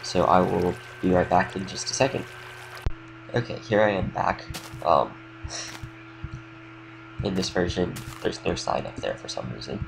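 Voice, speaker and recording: A young man with a slight cold, casually explaining a game build in a relaxed, rambling way with lots of fillers. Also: deep voice, a little slow, vocal fry, pauses often, music in the background, rough recording. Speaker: game tutorial creator. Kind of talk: casual gameplay tutorial commentary.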